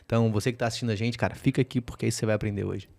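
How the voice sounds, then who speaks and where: A man's voice: on the low side, steady tone, racing, creaky, darkish tone. A man hosting a podcast, talking casually and addressing viewers directly in a friendly, informal way. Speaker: podcast host.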